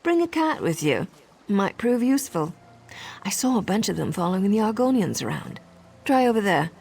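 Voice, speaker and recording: A woman's voice: medium pitch, very animated, normal speed, gravelly, a little mellow, slightly rough, full character voice. A woman playing a sly, teasing assassin disguised as a servant in a video game. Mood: sly scheming. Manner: sardonic, playful, conspiratorial. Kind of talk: video game character acting.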